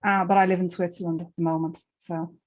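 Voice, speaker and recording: A woman's voice: on the low side, flat delivery, quick pace. A woman from Ireland with an Irish accent, chatting casually as she interviews a guest for a book club.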